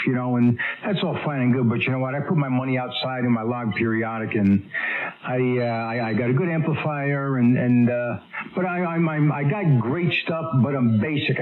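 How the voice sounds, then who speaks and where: An American man heard over a radio transmission, chatting casually and effusively as a ham operator, repeating phrases for emphasis. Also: medium pitch. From United States. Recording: narrow, tinny shortwave radio transmission.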